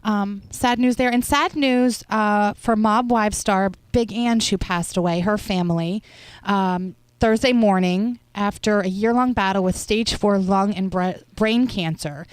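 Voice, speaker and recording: A woman's voice: mid-range voice, a little slow, clear, resonant tone, dry recording. Chatty woman co-host, dry studio. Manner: chatty, reads headlines then riffs. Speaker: radio co-host. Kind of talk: casual entertainment news chat.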